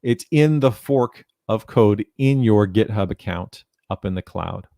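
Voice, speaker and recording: A man in his thirties giving an explanatory technical presentation in a measured, instructional way, speaking into a headset. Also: fairly low pitch, lively intonation, creaky, dark tone, no room sound, studio quality. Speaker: presenter, documentation content developer. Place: small indoor room, home video call.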